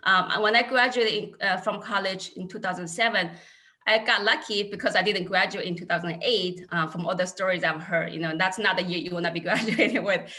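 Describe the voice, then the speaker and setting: A woman's voice: on the low side, fairly even intonation, fairly quick, bright, forceful tone. A woman in her thirties from China with a Chinese accent, speaking candidly as an accounting panelist over a video call. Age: 30s. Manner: earnest, candid storytelling. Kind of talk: casual panel talk.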